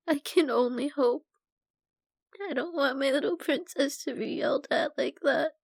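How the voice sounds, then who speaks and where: A woman playing a troubled young painter in an intimate ASMR roleplay, speaking softly and haltingly with sighs and trailing phrases. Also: high-pitched, vocal fry, no echo. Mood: tearful, distressed. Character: troubled young woman painter, a stranger venting family worries.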